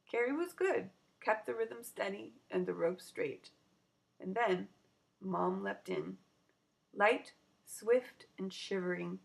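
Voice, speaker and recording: A woman narrating a children's story aloud in an expressive storytelling style. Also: mid-range voice, gravelly, poor audio.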